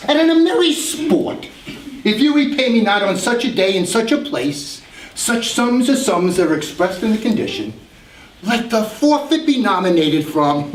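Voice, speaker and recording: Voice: mid-range voice, a little hiss or hum. An actor playing a sly, vengeful moneylender proposing a cruel bond, in stage verse. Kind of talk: stage acting of verse drama. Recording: echoey room from a distance.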